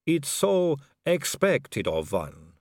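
A man voicing a suave, poised aristocratic female vampire, speaking slowly and silkily. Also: a little high, wildly swooping pitch, a little mellow, dry recording, clean studio sound, voice pushed up, full character voice. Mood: silky. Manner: slow, silky, languid delivery.

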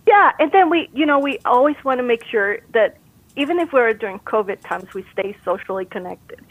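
A woman's voice: on the high side, lively intonation, a little fast, dry recording. A woman from Costa Rica, a brain health expert, giving upbeat, encouraging advice in a casual interview over a call. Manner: upbeat, encouraging, laughs easily. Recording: tinny phone line.

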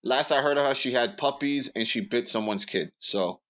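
A man's voice: mid-range voice, moderate pace, fairly bright tone. A young man, telling stories bluntly and building up jokes on a podcast. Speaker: podcast co-host. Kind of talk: casual storytelling chat.

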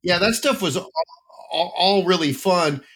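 A man's voice: high-pitched, expressive, a little slow, sharp tone, breathy edge. A man co-hosting a casual film review chat, giving blunt and emphatic opinions. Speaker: film critic co-host.

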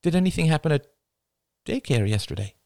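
A man's voice: fairly high pitch, very animated, brisk, a little mellow, no echo, clean studio sound, pitched above the performer's own voice. A man voicing a weary young mother who coaxes her small son in a slightly forced sing-song. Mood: coaxing.

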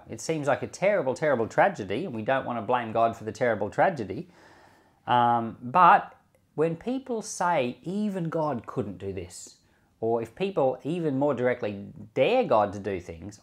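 A male preacher speaks in a mid-pitched voice with lively, wide-ranging intonation. He phrases things in a measured way and leans on key words. The delivery suits scripture reading and informal devotional teaching. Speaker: Bible podcast host and preacher.

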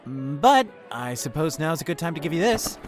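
A man playing an earnest, soft-hearted young man in love, in an audio drama. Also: fairly high pitch, big pitch swings, moderate pace, faint music behind. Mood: relieved, eager. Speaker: voice actor.

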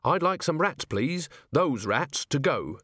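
A man's voice: a little high, big pitch swings, slow, fairly bright tone, slightly rough, no echo, studio quality, voice pushed up, full character voice. A man voicing an earnest, patient city watchman in an audiobook, asking questions in a polite, matter-of-fact way. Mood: politely inquiring. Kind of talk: audiobook character voice.

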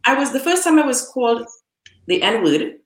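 A woman's voice: a little high, big pitch swings, normal speed. A woman from Trinidad on a panel, reflectively telling a story from childhood. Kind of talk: panel discussion. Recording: roomy echo.

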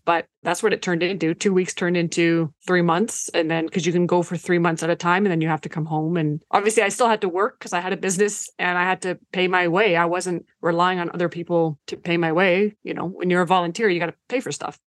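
A woman, raised speaking Ukrainian, talks freely as a guest and slips into little voices when she quotes people. Her voice sits a touch low. The sound is close and dry. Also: steady tone, fairly quick, hardly any pauses, dry recording. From Canada. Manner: chatty, acts out her own quoted lines, many fillers. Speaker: guest, professional organizer. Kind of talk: casual interview talk.

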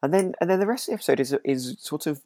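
A man's voice: fairly high pitch, lively intonation, racing, mellow, no room sound, studio quality. A man co-hosting a casual podcast discussion, speaking in a hesitant, rambling, tentative way. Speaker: podcast co-host. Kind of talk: casual discussion of a radio drama.

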